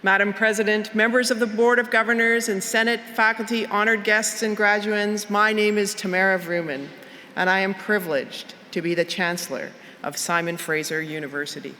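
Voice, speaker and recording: A middle-aged woman with a bright, clear tone speaks in a measured, formal way as chancellor opening a university convocation. She talks at a podium microphone in an outdoor plaza. The sound carries a long reverb from the PA, with faint crowd ambience behind her. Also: medium pitch, steady tone, a little hiss or hum. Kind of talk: formal ceremonial address.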